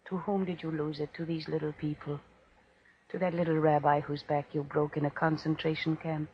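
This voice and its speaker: A woman's voice: low-pitched, level tone, moderate pace, close to an everyday voice. An actress playing a defiant, scornful condemned French woman, speaking with taunting deliberation in a 1940s radio horror drama. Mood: vengeful, accusing. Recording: dull, noisy old radio recording.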